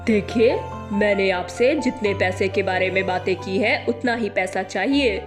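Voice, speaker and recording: A woman's voice: medium pitch, a little slow, over music, natural voice. A woman voicing a hard, greedy father of a groom in a story, stern and demanding. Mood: cold, demanding.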